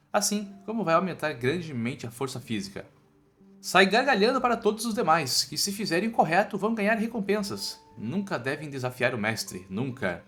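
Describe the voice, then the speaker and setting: A man's voice: fairly high pitch, fairly bright tone. A man with a Brazilian accent narrating a scripted comic story recap in a playful, colloquial storytelling manner. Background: background music.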